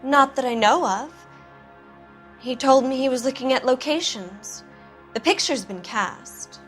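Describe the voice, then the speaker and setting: An actress playing a jaded, evasive Hollywood producer's wife, cool, knowing and challenging, in a 1940s noir game. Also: female voice, sits high, a little slow, soft background music. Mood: defiant.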